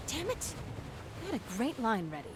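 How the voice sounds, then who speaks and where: An actress playing a sharp-tongued, impatient female pirate captain in a video game, sarcastic and brash. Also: fairly high pitch, huge pitch swings, moderate pace. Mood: irritated. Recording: noisy ambient background.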